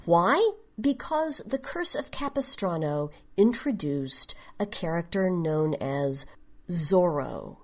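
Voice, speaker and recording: A woman gives a prepared podcast talk as a segment presenter. She speaks slowly and explains carefully, in a slightly low voice. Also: lively intonation. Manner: measured, explanatory. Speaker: genre history segment presenter.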